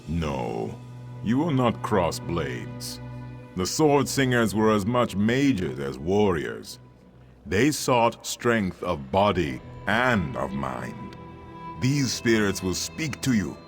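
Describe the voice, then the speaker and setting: A man's voice: low voice, big pitch swings, takes it slowly, over music, exaggerated voice. A man voicing a wise, devout sage in a fantasy game, speaking in a solemn, ceremonious manner. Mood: grave, instructive. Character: wise, devout male sage guiding a hero through trials. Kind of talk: fantasy video game acting.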